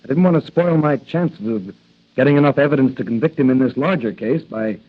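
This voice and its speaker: A man's voice: mid-range voice, lively intonation, a little fast, lightly acted. An actor playing a brave, determined young patrolman who secretly fights crime, in 1940s radio drama style. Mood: earnest, convinced. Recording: tinny, band-limited old radio recording.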